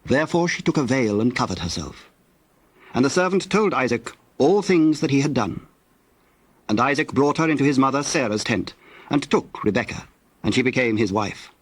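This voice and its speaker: A man narrating scripture from a script in a measured, formal storytelling manner. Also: medium pitch, animated, breathy edge, no echo.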